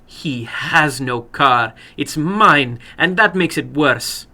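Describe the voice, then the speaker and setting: A man's voice: on the high side, animated, slow pace, pushed and bright, heavily acted. A man voicing a small, rather old foreign woman in a dramatic story reading, apologetic, weary and emphatic. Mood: ashamed.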